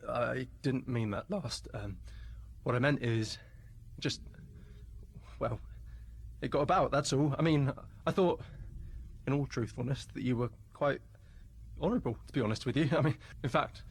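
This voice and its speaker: A man's voice: medium pitch, normal speed, gravelly, husky. A man playing a meek, awkward, polite captive in a radio play, hesitant and apologetic. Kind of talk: radio play monologue acting.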